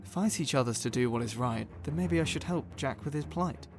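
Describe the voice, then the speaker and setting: An actor playing a troubled author who stands up for himself, speaking earnest, declamatory rhyming lines in an audio drama. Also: male voice, mid-range voice, fairly quick, music in the background, natural voice. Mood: thoughtful, resolved. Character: troubled male author standing up to his characters.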